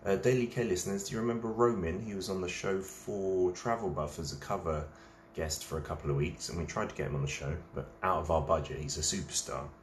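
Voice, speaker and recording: A man's voice: sits low, fairly even intonation, fast, roomy sound, slight background noise. A man, hosting a casual late-night live stream, reading out viewers' comments and chatting while he eats snacks. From England.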